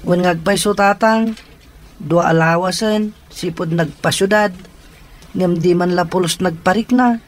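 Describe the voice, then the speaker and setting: An actor with an Ilocano accent playing a dutiful, protective young elder brother in a radio drama. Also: male voice, high voice, measured pace. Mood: respectful.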